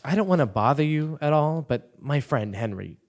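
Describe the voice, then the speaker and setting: A man's voice: a little high, animated, normal speed, a little mellow. A man playing an exasperated, skeptical plotter, the impatient straight man in a comic stage play. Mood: placating. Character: exasperated, skeptical male plotter humoring his friend. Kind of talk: comic stage acting before a live audience. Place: theatre stage, live performance.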